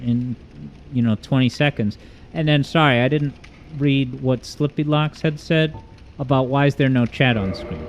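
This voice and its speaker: A male livestream host with a smooth voice of middling pitch, chatting at home through a streaming mic with boosted EQ. He rambles, jokes and pokes fun at himself while reading viewer comments. A steady hiss of background noise sits under his voice. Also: dull audio. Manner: rambling, joking, self-deprecating.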